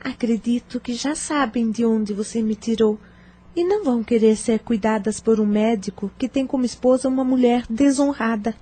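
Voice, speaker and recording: A woman's voice: on the high side, normal speed, dark tone, lightly acted. A woman playing a humble, self-sacrificing, shamed wife in an audio novel. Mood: anguished. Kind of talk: audio novel dramatic acting.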